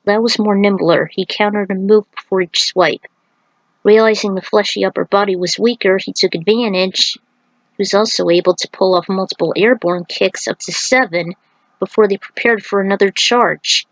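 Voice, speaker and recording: A woman's voice: medium pitch, steady tone, normal speed, dry recording, low-quality recording. A woman reading an action fantasy story aloud as a narrator, in a dramatic scripted style.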